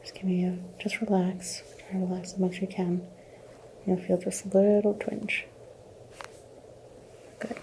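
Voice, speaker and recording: A woman in a soft-spoken, often whispered ASMR roleplay as a chiropractor, giving gentle, reassuring instructions. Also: medium pitch, little pitch movement, a little slow, vocal fry, long pauses, a little hiss or hum. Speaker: ASMR host playing a chiropractor.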